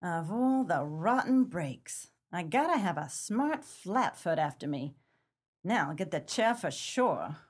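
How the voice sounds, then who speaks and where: An actress playing a tough, bitter 1930s gangster moll in a pulp crime radio play, slangy and dramatic. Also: female voice, lowish voice, sing-song, takes it slowly, breathy edge, exaggerated voice. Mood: resentful. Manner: slangy, hard-boiled, dramatic.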